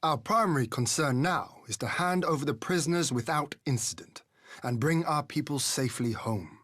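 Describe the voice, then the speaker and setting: An actor playing a composed, responsible young lord in a dramatic game scene, speaking formally and decisively. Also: male voice, on the high side, normal speed, fairly bright tone, breathy edge, dry recording, clean studio sound. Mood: resolute.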